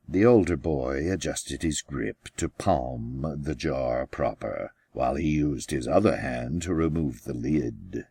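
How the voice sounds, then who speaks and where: A man with a gravelly, husky voice reading his own story as an author, in deliberate, measured audiobook narration with pauses. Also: rumbling low, a little mellow, no room sound, clean studio sound. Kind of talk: slow scripted audiobook narration.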